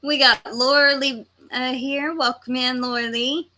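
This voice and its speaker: Bright, unhurried woman. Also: high-pitched, fairly even intonation. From Texas, United States. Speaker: livestream host. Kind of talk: casual chat, reading viewers' comments aloud.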